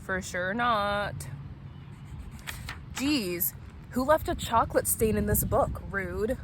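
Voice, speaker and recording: A woman's voice: mid-range voice, lively intonation, slow pace. A woman speaking in a playful, casual way as a vlog host, chatting and reading aloud. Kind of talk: casual vlog chat and novel read-aloud. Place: outdoors at a picnic spot. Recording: noisy outdoor recording with traffic ambience.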